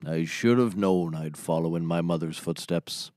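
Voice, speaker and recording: A man playing a gloomy, deadpan comedy sidekick who narrates solemnly like a documentary. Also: low voice, moderate pace, no echo, clean studio sound, lightly acted.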